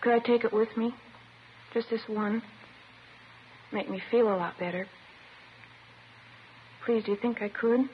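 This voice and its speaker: A woman's voice: a little high, steady tone, a little slow, pauses often, lightly acted. A woman playing a disturbed teenage girl in a 1950s radio drama, plaintive and childlike, matter-of-fact and wistful. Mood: pleading, childlike. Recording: dull, noisy old radio recording.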